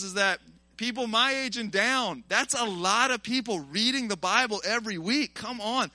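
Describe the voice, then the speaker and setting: Male preacher, early 40s, high forceful voice, colloquial and exhorting, informal live sermon. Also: no echo. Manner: animated, colloquial, exhorting the listeners. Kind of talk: informal sermon to a live congregation, with personal testimony.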